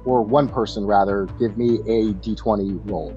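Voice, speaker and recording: A man improvising narration as a game master, speaking in short phrases with pauses between them. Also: on the low side, fairly even intonation, dull audio, over music.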